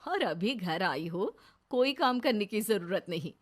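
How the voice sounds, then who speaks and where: A woman plays a kind mother-in-law in a storybook drama. Her voice has a medium pitch. She is protective and easygoing, and speaks with caring reassurance. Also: big pitch swings, measured pace. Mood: kind, reassuring.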